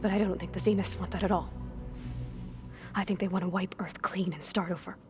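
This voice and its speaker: An actress playing an anxious young woman made on a ship, speaking in a halting, clinical way in a game cutscene. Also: lowish voice, fairly even intonation, over music, natural voice. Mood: earnest. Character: anxious young woman clone, fearful, skittish. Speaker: game character. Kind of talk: video game cutscene acting.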